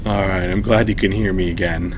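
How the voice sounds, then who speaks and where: A man's voice: low-pitched, steady tone, a little fast, background noise. A man hosting a live story reading, narrating and chatting casually with hesitant, self-deprecating asides. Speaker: storytime host and reader.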